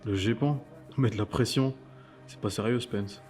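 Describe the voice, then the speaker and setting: A man's voice: fairly low pitch, measured pace, a little mellow, soft background music, natural voice. A man playing a breezy, teasing and casually skeptical colleague at an agency in a French audio drama. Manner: casual, joking. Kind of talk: French audio fiction dialogue.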